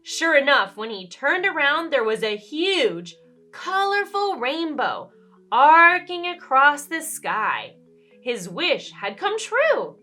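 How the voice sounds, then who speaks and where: A woman's voice: high voice, sing-song, takes it slowly, pushed and bright, soft background music. A woman storyteller reading a children's book aloud expressively, with dramatic emphasis and questions to the listener.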